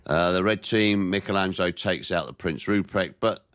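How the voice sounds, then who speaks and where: A very deep-voiced man with a head cold, his voice nasal and stuffy as he narrates a warship game in a measured, plain-spoken way. The sound is close and dry, with no room around it. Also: a bit bright, smooth, no echo. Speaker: gameplay commentator. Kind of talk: naval game commentary.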